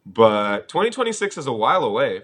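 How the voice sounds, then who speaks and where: A man's voice: mid-range voice, big pitch swings, brisk, a bit bright. A man who grew up in Bangladesh, hosting a casual interview with an eager, chatty manner and frequent laughs. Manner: chatty, enthusiastic, calls guest "bro", laughs often. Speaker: interviewer, host.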